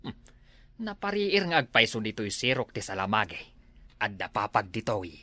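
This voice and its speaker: A man plays a mischievous village drinker with an Ilocano accent. His voice swings widely and sounds bright and close, with a very dry recording. He exaggerates every groan and interjection in comedy-drama style. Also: on the low side, sing-song, normal speed, no echo. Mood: gleeful, scheming. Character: mischievous village drinker, gleeful then whimpering with regret.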